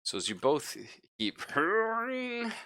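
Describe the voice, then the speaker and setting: A man running a tabletop horror roleplaying game, narrating scenes off the cuff in a casual, halting way. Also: sits high, huge pitch swings, pushed and bright. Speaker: game master.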